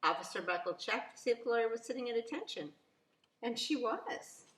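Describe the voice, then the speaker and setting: A woman reading a picture book aloud to young children as a teacher, very expressively, with drawn-out emphasis. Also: fairly high pitch, animated, a bit bright, slight background noise. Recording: roomy, echoing sound.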